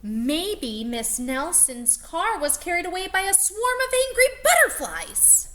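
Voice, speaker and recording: A woman voicing an excited know-it-all schoolchild in a storybook, exclaiming wild guesses emphatically. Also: very high-pitched, unhurried, pitched above the performer's own voice, heavily acted.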